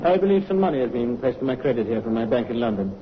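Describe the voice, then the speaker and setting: An actor playing a smooth, composed male con man in an old radio drama, evasive when pressed. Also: mid-range voice, sing-song, fast talker, soft background music, natural voice. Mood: cool. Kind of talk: 1950s radio acting. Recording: muffled vintage radio recording.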